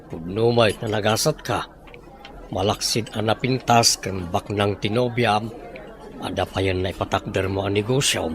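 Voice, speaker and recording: A man's voice: lowish voice, moderate pace, lightly acted. A man playing an easygoing, admiring older-brother friend in an audio drama. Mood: friendly, admiring. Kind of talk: Ilocano audio drama acting.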